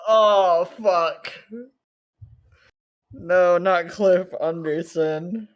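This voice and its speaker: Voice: medium pitch, steady tone, extremely slow. A tabletop roleplay player making casual, slangy asides and reactions. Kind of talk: casual chat, joking asides.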